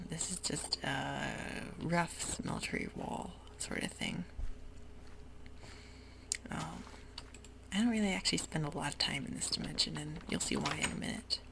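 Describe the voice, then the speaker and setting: Low raspy whisper from a woman, rambly asmr game chat, lots of ums, loud hiss and keyboard clicking behind. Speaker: gaming ASMR host. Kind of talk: whispered ASMR gameplay commentary.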